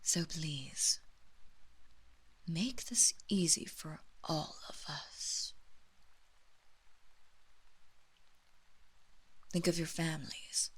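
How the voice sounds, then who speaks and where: An aristocratic vampire mistress, performed by a woman for a roleplay audio drama. She talks very slowly in a slightly low register, every phrase formal and measured. Her manner is condescending and quietly threatening, often sinking into a breathy whisper. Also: exaggerated voice. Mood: coaxing, menacing. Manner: slow, deliberate, formal, condescending. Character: imperious female vampire mistress, coolly menacing aristocrat.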